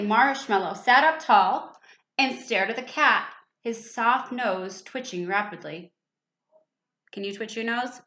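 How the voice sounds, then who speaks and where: A woman's voice: fairly high pitch, a bit bright. A woman reading a children's storybook aloud, expressively, with little asides and questions to young listeners. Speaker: teacher reading a picture book aloud. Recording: echoey room, slightly dull sound.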